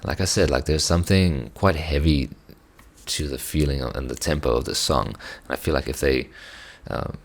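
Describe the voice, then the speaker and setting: Hoarse, very deep gravelly man. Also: moderate pace. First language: Afrikaans. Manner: reflective, hesitant, many restarts. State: hoarse, strained voice. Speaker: musician reacting to songs. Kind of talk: casual reaction commentary.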